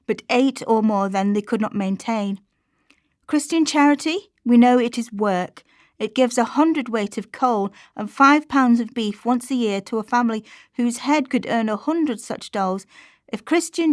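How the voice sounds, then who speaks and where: A woman playing an impassioned Victorian social campaigner in a radio play, speaking in a measured, declamatory way. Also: on the high side, dry recording, clean studio sound, natural voice. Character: impassioned female Victorian campaigner denouncing workers' misery. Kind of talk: dramatised historical speech in radio play.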